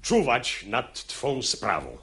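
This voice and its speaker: An actor playing a calm, sensible gentleman, the master's brother-in-law, in a verse radio play. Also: medium pitch, slow pace, heavily acted. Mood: measured. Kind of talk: verse stage comedy performed as radio drama.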